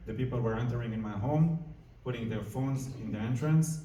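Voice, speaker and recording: A man's voice: medium pitch, a little fast, dark tone. Man speaking in an echoing hall. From France. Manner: earnest, informal, searching for words. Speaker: diplomat. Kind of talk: speech to a live audience. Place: large community hall. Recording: roomy hall, mic at a distance.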